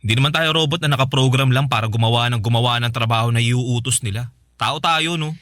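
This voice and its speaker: Actor as fast, bright-voiced pleading Filipino boyfriend. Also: male voice, medium pitch, no echo, clean studio sound, natural voice. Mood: protesting, frustrated. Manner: whiny, pleading, protesting. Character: neglected, pleading young boyfriend, hurt and protesting. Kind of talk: Filipino radio drama acting.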